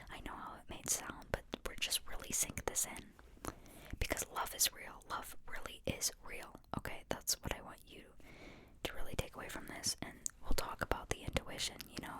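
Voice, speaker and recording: A woman speaking in a breathy, unvoiced whisper, reflecting informally and earnestly in an ASMR style as she questions her own ideas. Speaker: host sharing reflections on a psychology book.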